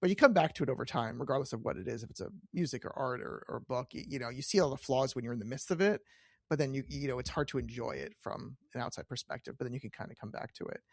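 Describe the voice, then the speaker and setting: A man's voice: mid-range voice, quick pace, gravelly, no echo, studio quality. A man hosting a casual conversational interview, feeling his way into questions and adding his own anecdotes. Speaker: podcast host.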